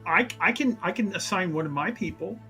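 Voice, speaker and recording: A woman's voice: fairly low pitch, lively intonation, fairly quick, a bit bright, faint music behind. A woman improvising casually as a participant in a tabletop roleplaying game.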